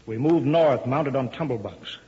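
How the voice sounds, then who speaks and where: An actor playing an urgent, middle-aged chief engineer, giving clipped, authoritative orders in 1950s radio drama style. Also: male voice, medium pitch, animated, dark tone, slightly rough. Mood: grim, commanding. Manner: brisk, clipped, commanding. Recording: muffled old radio recording.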